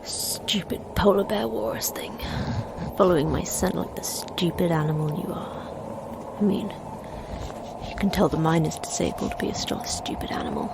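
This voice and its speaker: A woman's voice: lowish voice, normal speed, creaky, noisy background. An actress playing a tough, wry, injured woman scientist in an audio drama, muttering dryly to herself. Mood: muttered scorn. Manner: dry, sardonic, muttering to herself. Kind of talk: audio drama acting, intimate monologue.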